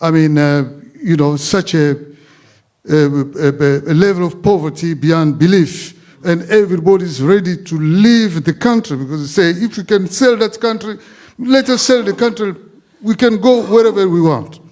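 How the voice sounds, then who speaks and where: A man from the Democratic Republic of the Congo, speaking from the audience in an impassioned, halting way. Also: high voice, darkish tone. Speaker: audience member.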